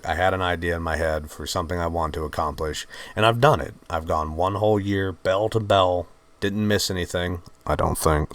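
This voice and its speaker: A man with a mixed Virginian and Georgian Southern accent, hosting his channel and talking in a subdued, halting, heartfelt way. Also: low-pitched, a bit bright. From Virginia, USA. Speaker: channel host. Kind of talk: reflective personal talk to camera.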